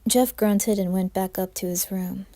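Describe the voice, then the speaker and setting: A woman's voice: medium pitch, steady tone, a little slow, mellow. A woman reading scripted horror narration as a storyteller.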